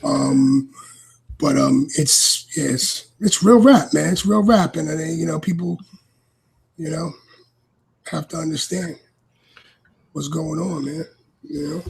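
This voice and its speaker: A man's voice: a little high, slow pace, creaky, long pauses, a little mellow, breathy edge, low-quality recording. A man from New York with a New York accent, rambling animatedly in a casual chat as a podcast guest.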